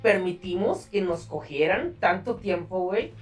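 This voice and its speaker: A man's voice: sits high, a little slow, distant mic, soft background music. A man with a Mexican accent hosting a podcast in a casual, slangy, profane way. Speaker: podcast host.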